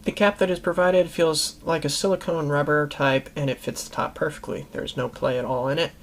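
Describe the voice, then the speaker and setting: Higher-pitched man explaining gear. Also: fairly even intonation, fairly quick. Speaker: host of a how-to video series. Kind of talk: instructional product review narration.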